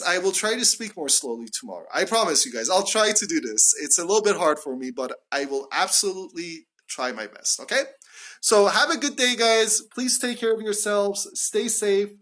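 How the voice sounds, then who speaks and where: A man's voice: high-pitched, animated, normal speed, a bit bright. A man, teaching phrases on a live stream from a phone at home, chatty with many fillers. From Canada. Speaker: English teacher. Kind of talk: live-streamed English lesson. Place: small room indoors at home. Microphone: phone at arm's length.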